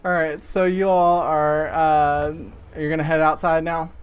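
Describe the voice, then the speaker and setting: A man narrating a tabletop game as game master, in a halting, informal way, piecing the scene together as he talks. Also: a little high, flat delivery, smooth.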